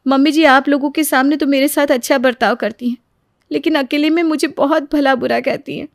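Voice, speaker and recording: A woman acts out a long-suffering young daughter-in-law in a moral story. The voice is high, plaintive and earnest, recorded close and dry. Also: fairly even intonation, a little fast, no room sound. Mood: hurt, earnest. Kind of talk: Hindi moral story voice acting.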